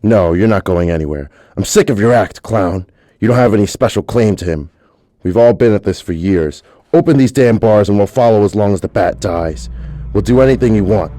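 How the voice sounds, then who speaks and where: A man plays a bitter gangster villain in a radio drama, in a lowish, dry, close voice. He is gruff and curt, with anger and threat under every line. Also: brisk, dark tone, dry recording, natural voice. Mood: defiant, angry. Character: Harvey Dent, bitter angry gangster-like male villain.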